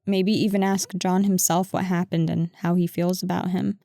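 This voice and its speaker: A woman's voice: lowish voice, little pitch movement, normal speed, gravelly, dark tone, no echo, studio quality. A woman playing a defensive but affectionate girlfriend in an intimate audio roleplay, conversational and sarcastic, talking to a partner who is not heard. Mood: conciliatory, hesitant. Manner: conversational, exasperated, sarcastic, addressing an unheard partner.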